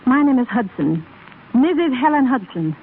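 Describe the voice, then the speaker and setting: A woman's voice: sits high, very animated, moderate pace. An actress playing a proud, guarded, indignant widowed lady in a 1930s radio drama, polite but cool. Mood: cold, hurt. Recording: narrow-band, faintly noisy 1930s radio broadcast.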